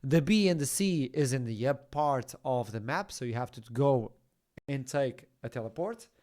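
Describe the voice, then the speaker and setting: A man from Portugal doing excitable play-by-play commentary on an esports match. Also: mid-range voice, normal speed. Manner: excitable, builds to shouts at big plays. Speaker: esports caster.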